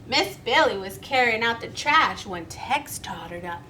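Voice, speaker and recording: A woman's voice: a little high, expressive, unhurried, bright, forceful tone, slight background noise. A woman reading a children's story aloud expressively, inviting young listeners to join in. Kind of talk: animated children's read-aloud.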